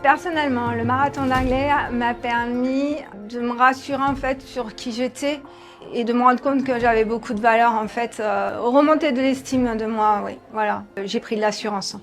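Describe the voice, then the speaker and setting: Female, 48, higher register, unscripted participant testimonial with light music behind. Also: fairly even intonation, moderate pace. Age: late 40s. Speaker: course participant giving a testimonial. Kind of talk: spontaneous filmed testimonial.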